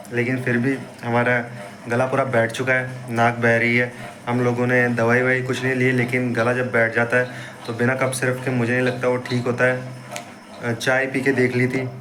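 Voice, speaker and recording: A young man with a hoarse voice and a blocked nose, giving an upbeat pep talk to viewers in a casual fitness vlog. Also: medium pitch. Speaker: fitness vlogger. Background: street traffic and wind.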